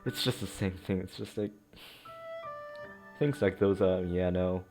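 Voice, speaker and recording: A man's voice: low voice, a little slow, over music. A man giving casual commentary as a let's play streamer, in a sarcastic, mocking and giggly way, with plenty of swearing.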